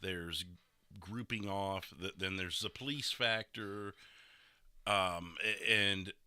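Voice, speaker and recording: A man's voice: sits low, steady tone, takes it slowly, sharp tone, clean tone, no echo. A man hosting a casual gaming podcast, chatting about roleplay into a close microphone. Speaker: podcast host and streamer. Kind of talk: casual chat reviewing game roleplay.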